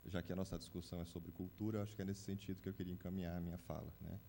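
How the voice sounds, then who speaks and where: A young man asks a question from the audience in a low, dark voice. He speaks earnestly and often tags his sentences. A faint hum sits behind him. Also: steady tone, very fast, vocal fry. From Salvador, Bahia, Brazil. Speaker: audience member asking a question.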